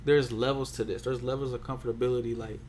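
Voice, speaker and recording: A man's voice: medium pitch, fairly even intonation, quick pace, a little mellow, slight background noise. A man talking casually and colloquially, often checking that the listener follows him. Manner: colloquial, peppered with "you know what I'm saying". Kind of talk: casual relationship chat.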